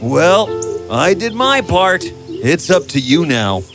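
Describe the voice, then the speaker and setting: A man acts a well-meaning village friend from a storybook, persuasive and insistent. His pitch is raised and lively, with music behind. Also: takes it slowly, heavily acted. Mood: concerned, insistent. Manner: insistent, advising.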